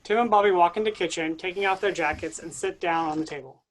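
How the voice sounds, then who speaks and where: A reader voicing the stage directions of a staged play reading in a plain, even way. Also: medium pitch, quick pace, a little hiss or hum, low-quality recording.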